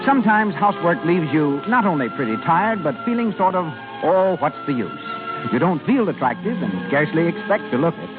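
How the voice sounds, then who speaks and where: A man announces in the polished scripted style of 1930s radio. His voice sits high and swings expressively. The sound is thin and band-limited, with music playing clearly behind him. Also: lively intonation, brisk, narrow, tinny audio.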